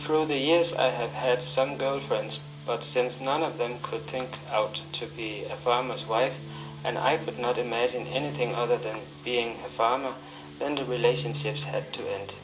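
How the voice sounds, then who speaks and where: A man's voice: medium pitch, steady tone, normal speed, few pauses. Man, dull lo-fi recording. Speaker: sperm donor giving a profile message. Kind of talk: prepared personal statement. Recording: dull lo-fi playback, music behind.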